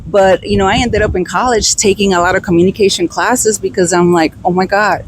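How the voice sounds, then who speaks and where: Woman podcast host, super casual, lots of you-knows, mid pitch, faint rumble, outside on a beach. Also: fairly even intonation, a little fast, low-quality recording. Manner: affirming, conversational with many fillers. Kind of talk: casual interview chat. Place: outdoors on a beach.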